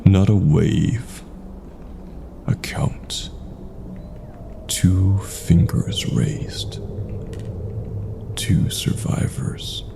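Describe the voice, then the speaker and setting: Very deep, gravelly man narrating horror. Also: fairly even intonation, very slow, long pauses, noisy background. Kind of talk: scripted first-person horror narration.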